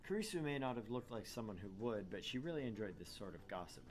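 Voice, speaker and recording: A man's voice: fairly low pitch, very animated, fast, faint music behind. A man narrating a visual novel as a gaming host, with irreverent asides.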